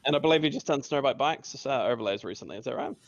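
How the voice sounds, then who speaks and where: A man's voice: medium pitch, animated, very fast, no echo, highs cut off. A man hosting a casual podcast chat, upbeat and laughing often, calling his guests buddy. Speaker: podcast host.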